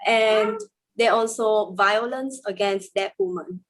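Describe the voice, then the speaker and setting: A woman interpreting a deaf guest's answers aloud in an interview, in plain careful phrasing with frequent pauses and fillers. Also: mid-range voice, steady tone, rough recording. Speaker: sign language interpreter voicing a deaf guest.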